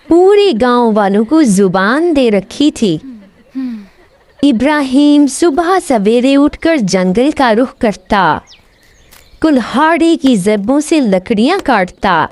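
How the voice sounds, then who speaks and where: High-pitched, lively woman storyteller. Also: dry recording. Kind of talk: scripted fairy tale narration.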